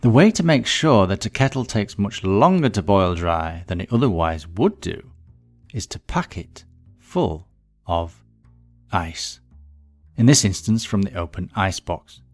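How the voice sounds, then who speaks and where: A man's voice: deep voice, very animated, a little slow, soft background music. A man reading a scripted mystery story as its narrator.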